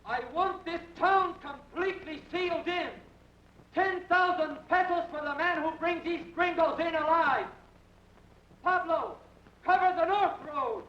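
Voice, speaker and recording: Imperious bandit chief, very high-pitched voice. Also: male voice. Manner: deliberate, commanding. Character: imperious male bandit chief barking orders. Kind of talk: old film drama dialogue. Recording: dull, echoing old film soundtrack.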